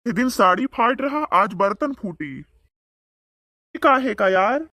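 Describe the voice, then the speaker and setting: A man's voice: high-pitched, animated, slow pace, pushed and bright, no echo, studio quality, heavily acted. A man voicing a boastful, bickering village man in a comedy cartoon, speaking with an Awadhi accent and taunting banter. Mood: grumbling. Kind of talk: comedic cartoon dialogue in rural dialect.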